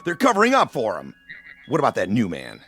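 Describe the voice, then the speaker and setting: Blustering, very animated newspaper tycoon. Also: male voice, mid-range voice, fairly quick, faint music behind. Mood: angry accusation. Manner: blunt, pompous. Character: blustering, threatening male rival newspaper boss. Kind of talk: radio drama acting.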